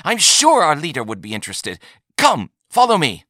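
A man's voice: medium pitch, big pitch swings, slow pace, bright, forceful tone, dry recording, studio quality, pitched above the performer's own voice, exaggerated voice. A man voicing an easily tempted alien rancher in a science fiction story, nervous and barely hiding his eagerness. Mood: newfound excitement.

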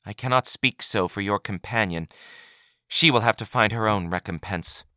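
A man's voice: lowish voice, steady tone, sharp tone, clean tone, no echo, clean studio sound. A man doing a solemn dignified woman judge. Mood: gentle. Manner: measured, solemn.

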